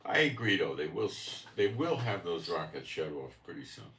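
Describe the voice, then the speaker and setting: Live stream host, man raised in America, very low voice, speaking from a distance in an echoey room. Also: big pitch swings, moderate pace, fairly bright tone, a little hiss or hum. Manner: musing, speaks in short broken phrases. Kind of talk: casual live stream commentary. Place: home room in Israel. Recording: echoey room, far from mic.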